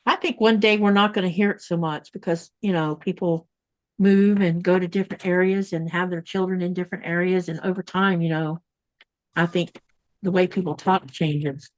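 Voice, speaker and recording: A woman's voice: on the low side, fairly even intonation, moderate pace, lo-fi sound. A woman from Florida co-hosting a casual sewing livestream over a video call, chatting and reading out viewer comments. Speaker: livestream co-host. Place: home in Greenland.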